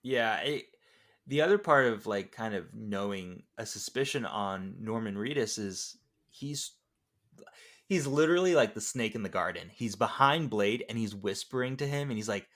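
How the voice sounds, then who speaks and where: Mid-pitched man riffing on a movie. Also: a bit bright. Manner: joking, riffing. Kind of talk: casual film discussion.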